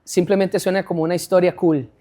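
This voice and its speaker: A woman interpreting a sermon live for a church congregation, following the preacher line by line in a quick, conversational manner. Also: lowish voice, fairly even intonation, mellow. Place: church stage before a congregation.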